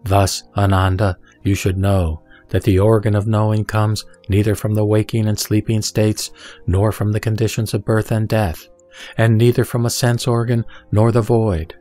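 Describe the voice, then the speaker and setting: A man reading Buddhist scripture from a script in a measured, didactic manner. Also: fairly low pitch, flat delivery, dark tone. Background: background music.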